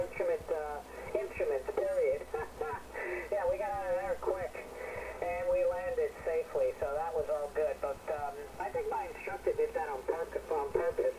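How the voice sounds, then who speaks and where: A man checking in on amateur radio, giving a jovial, rambling, folksy report over a shortwave link. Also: fairly high pitch. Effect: shortwave radio transmission. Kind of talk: casual report and anecdote over ham radio. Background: radio noise.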